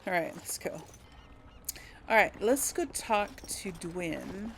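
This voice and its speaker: A woman's voice: lowish voice, expressive, slow pace, creaky, a bit bright, slight background noise, poor audio. A woman giving casual game commentary as a let's play host, chatting and thinking aloud. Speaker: let's play host, role-playing a character.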